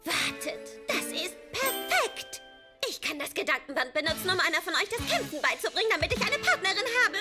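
A woman voicing an excitable, self-doubting young girl in a cartoon dub, with a dramatic, expressive delivery. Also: very high voice, a little fast. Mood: excited. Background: loud background music.

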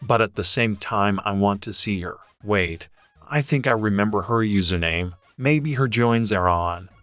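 A man's voice: lowish voice, measured pace, a bit bright, no echo. A man playing a sad, loyal, protective young best friend in a dramatised online story. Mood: sad, longing.

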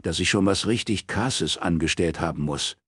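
A man's voice: deep voice, normal speed, husky, dry recording. A man voicing a brusque, foul-mouthed London crook in an audiobook, blunt and impatient. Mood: defensive, gruff. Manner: coarse, blunt, slangy.

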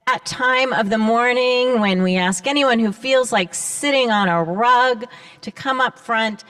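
An older woman leading worship in a church, guiding all ages gently through imagery in an unhurried way. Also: on the high side. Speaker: worship leader. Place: large church sanctuary. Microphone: handheld microphone.

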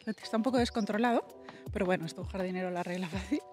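A woman's voice: mid-range voice, racing, faint music behind. Chatty woman vlogging outdoors. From Spain. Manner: chatty, rambling, many asides and restarts. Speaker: vlogger and presenter. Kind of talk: casual vlog house tour. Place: outdoors in a country garden. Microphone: small camera mic held at arm's length.

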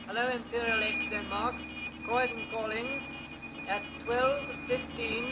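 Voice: mid-range voice, little pitch movement, measured pace. An air traffic radio operator making formal, clipped calls over a radio transmission. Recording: very noisy old archival film soundtrack.

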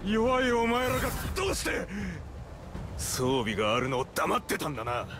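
An actor playing an enraged, desperate raid leader who accuses his rivals in a haughty, shouting, dramatic anime game style. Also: high voice, wildly swooping pitch, moderate pace, over music. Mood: bitter outrage.

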